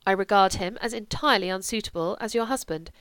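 A woman's voice: medium pitch, quick pace, fairly bright tone, smooth, no room sound, lightly acted. A woman voicing a stern, devout older aristocratic mother who speaks in a cool, reproving way. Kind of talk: audiobook character voice.

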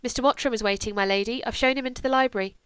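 Played by a woman reader, a deferential household servant with a polite manner. The voice sits a bit higher. The sound is dry. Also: rapid-fire, a bit bright, clean tone, no echo, close to an everyday voice.

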